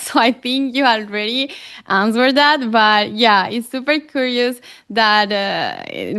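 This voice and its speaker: A woman's voice: on the high side, a bit bright, smooth. Colombian woman hosting in careful English. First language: Spanish. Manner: eager, with small English slips and fillers. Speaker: podcast host. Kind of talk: podcast interview.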